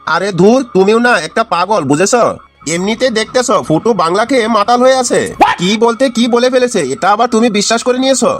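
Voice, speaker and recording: Man acting a high-pitched, scolding cartoon dad. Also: fast talker, soft background music, close to an everyday voice. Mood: flustered, blustering. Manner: scolding, swearing, blustering. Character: irate scolding Bengali father, middle-aged husband. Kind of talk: amateur comedy cartoon dialogue.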